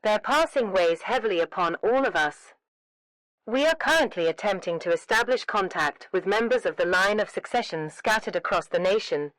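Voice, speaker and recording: A woman speaks as an emergency broadcast announcer, with a medium-pitched voice. Her delivery is scripted, formal and solemn, each sentence measured out evenly. The sound is dry and close, with no room on it. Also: lively intonation, fairly bright tone, no echo, poor audio.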